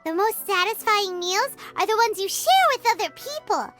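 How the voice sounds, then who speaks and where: A woman voicing a tiny, cheerful fairy-like child companion in a video game, with a squeaky childlike voice and bubbly manner. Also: expressive, normal speed. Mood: upbeat. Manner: bubbly, speaks of herself in third person. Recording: loud music under the voice. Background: game music.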